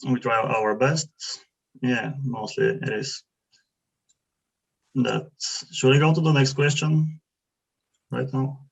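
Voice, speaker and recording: A young man from Bulgaria with a mid-pitched voice asks questions over a video call, halting and filling gaps as he searches for words. The audio is a little muffled and dull. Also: steady tone, creaky. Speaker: webinar participant asking questions.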